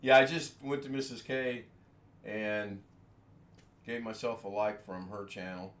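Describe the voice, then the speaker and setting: A man rambles through a casual home livestream, poking fun at himself and chuckling as he goes. His voice sits at an ordinary pitch, and a roomy echo hangs on it with a faint ambient hum behind. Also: fairly even intonation, a little slow, fairly bright tone. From United States. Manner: rambling, self-deprecating, chuckling often. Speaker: livestream host. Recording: echoey room with faint ambience.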